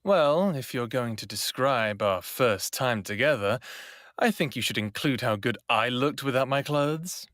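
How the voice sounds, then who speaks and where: An actor playing a devoted, wry human lover in his thirties in an intimate audio drama, speaking in a hushed way. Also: mid-range voice, expressive, unhurried, hardly any pauses, no echo, clean studio sound. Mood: playful, teasing. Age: 30s.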